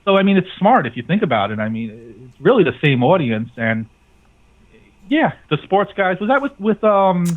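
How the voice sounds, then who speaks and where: A man's voice: high voice, big pitch swings, normal speed. A man speaking as a guest in a casual interview, talking about his sports and comedy radio network. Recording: thin phone-like radio call-in line.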